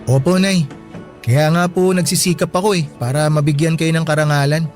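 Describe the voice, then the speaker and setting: Dutiful student son for radio drama male actor: high-pitched, gentle, earnest, deferential. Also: steady tone, fairly quick, faint music behind, natural voice. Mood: earnest, grateful. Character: respectful, caring college-student son, earnest and worried. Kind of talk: Filipino radio drama acting.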